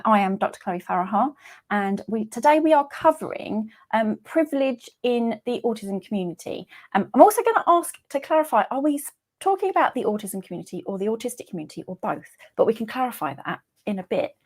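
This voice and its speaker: A woman hosting an online video-call session, chatty and giggly, with plenty of ums. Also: on the high side. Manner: chatty, giggly, many fillers. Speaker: host.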